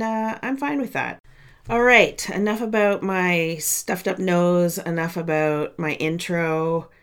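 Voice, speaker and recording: A young woman hosts her podcast with a somewhat low, nasal voice, stuffed up from a sinus cold. She talks in a chatty, candid way, addressing her listeners directly as if in casual conversation. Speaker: podcast host and coach. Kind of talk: casual solo podcast monologue.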